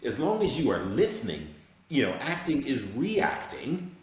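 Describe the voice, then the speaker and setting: A man speaks as a guest in a relaxed interview, earnest and reflective as he shares his thoughts on acting. His voice bounces around a very reverberant room. Faint background noise sits underneath, and his pitch moves in a lively way. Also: moderate pace. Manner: reflective, earnest, often asks "you know what I'm saying". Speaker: guest voice actor. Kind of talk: casual interview conversation.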